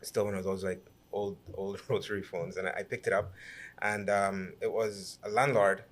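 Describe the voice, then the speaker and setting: A man from Guyana in his thirties, a podcast guest telling his life story in a lively, halting way with many restarts. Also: deep voice. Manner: animated storyteller with frequent restarts and repeated words. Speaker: guest, businessman and city councillor. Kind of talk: conversational podcast interview.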